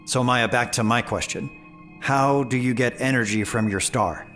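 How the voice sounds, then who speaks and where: An actor playing a self-assured senator in an audio drama, with a pointed, questioning manner. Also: male voice, medium pitch, normal speed, a bit bright, soft background music, natural voice. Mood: persistent.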